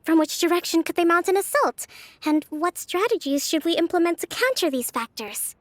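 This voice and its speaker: A woman voicing a gentle, introverted young priestess and strategist in a video game, soft-spoken, formal and thoughtful. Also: very high voice, big pitch swings, moderate pace, no echo. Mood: thoughtful, focused. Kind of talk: video game character voice acting.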